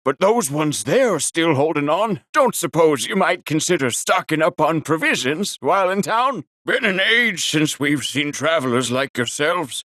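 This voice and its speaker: A friendly but rueful ex-shopkeeper from a video game. He coaxes politely in a bright, lilting voice, recorded very dry. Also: a little high, sing-song, normal speed, few pauses, dry recording. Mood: hopeful, wistful. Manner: polite, coaxing. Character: friendly, rueful male former shopkeeper welcoming travelers. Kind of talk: video game character dialogue.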